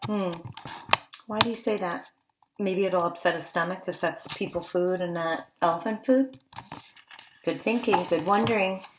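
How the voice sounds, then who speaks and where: A woman's voice: lowish voice, moderate pace, pauses often, noisy background. A woman reading a picture book aloud to young children as a teacher, stopping to ask simple questions and make exclaiming asides.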